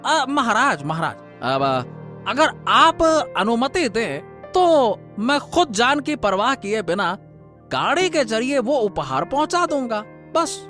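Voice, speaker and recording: A man playing a scheming, flattering royal minister in an animated folk tale, with an oily, deferential, theatrical delivery. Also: very high voice, slow, faint music behind, full character voice. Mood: fawning, obliging. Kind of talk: animated Hindi moral-story voice acting.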